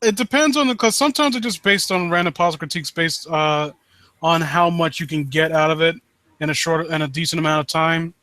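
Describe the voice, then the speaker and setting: Bright-voiced man rambling casually on stream. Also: a little high, no room sound. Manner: rambling, halting, self-interrupting. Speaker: livestream host. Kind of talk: casual live stream chat.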